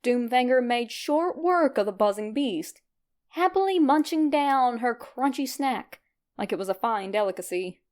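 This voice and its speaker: A woman with a fairly high voice reads a fan fiction story aloud. She narrates from a script in an expressive storytelling style. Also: measured pace. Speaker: fan fiction podfic reader.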